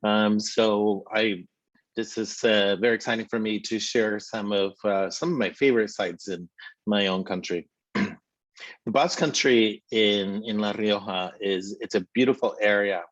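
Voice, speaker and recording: A middle-aged man with a Spanish accent walks listeners through a slideshow tour, his voice sitting low. He hesitates often, filling gaps with ums and restarting phrases. The audio is dry and a little muffled. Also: fairly even intonation, a little slow, dry recording. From Spain. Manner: hesitant, many fillers and restarts. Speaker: tour company CEO presenting a virtual tour.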